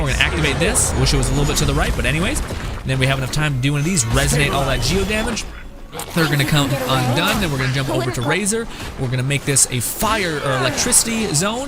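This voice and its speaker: A young man giving casual gameplay commentary as a streamer, rambling, jokey and slangy. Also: mid-range voice. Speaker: gaming streamer. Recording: game music behind the voice.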